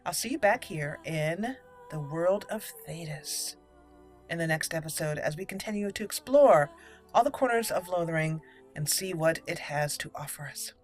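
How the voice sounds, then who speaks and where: A woman's voice: sits low, lively intonation, moderate pace, soft background music. A woman hosting a let's play, chatting and thinking aloud as she roleplays through a game. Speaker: gaming channel host.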